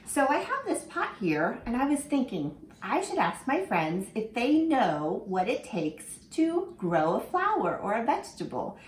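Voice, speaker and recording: A woman teacher reading a picture book aloud to children in an animated way, stopping to ask them questions. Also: on the high side, unhurried, hardly any pauses. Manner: animated, asks the listener questions. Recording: echoey room with faint ambience.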